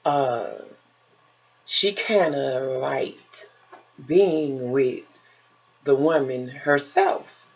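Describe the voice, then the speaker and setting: A woman vlogger telling stories in a casual, animated style, emphatic and theatrical, often acting out conversations and throwing out rhetorical questions. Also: very deep voice, drawn-out words, pauses often, breathy edge, lo-fi sound.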